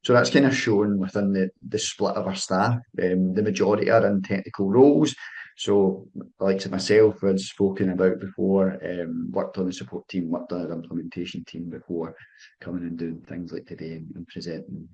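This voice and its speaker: A young man with a Scottish accent and a very deep voice talks through a sales webinar on a video call. He fills gaps with "um", doubles back on his words, and keeps a friendly, chatty tone. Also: level tone, normal speed, a little mellow, poor audio. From Scotland. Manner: filler-heavy, restarts phrases, friendly sales tone. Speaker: webinar presenter. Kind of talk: business webinar presentation.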